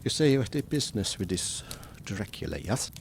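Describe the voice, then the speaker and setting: A man playing a grave, commanding professor and vampire hunter with a German accent, formal and deliberate, in a gothic horror drama. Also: lowish voice, big pitch swings, slight background noise. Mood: thoughtful, probing.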